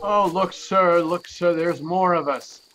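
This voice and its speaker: An actor plays a devout old royal counsellor, garrulous and effusive. His male voice sits high as he declaims Shakespearean verse in a radio play. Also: slow, fairly bright tone, faint music behind. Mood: excited scolding.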